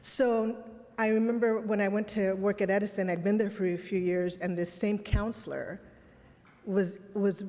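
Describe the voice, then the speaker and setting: A woman's voice: mid-range voice, dark tone. A middle-aged woman whose first language was Spanish, giving a candid, reflective keynote with personal stories and rhetorical questions. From Texas border town, raised in Los Angeles. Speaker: conference speaker. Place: conference hall. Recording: long reverb in a large hall.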